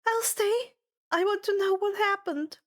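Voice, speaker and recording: A woman's voice: very high voice, a little slow, voice pushed up. A woman voicing a distraught, loyal young girl in a story, stammering and pleading. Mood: anxious, determined.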